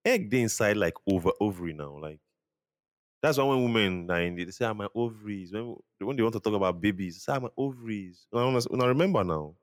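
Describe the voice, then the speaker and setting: A young man with a Nigerian accent and a very low, deep voice, trading casual jokes on a podcast. His sound is close and dry, and he slips Pidgin phrases into easy banter. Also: expressive, quick pace, no echo. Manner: joking, self-mocking banter with Pidgin phrases. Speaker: podcast co-host. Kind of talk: casual chat.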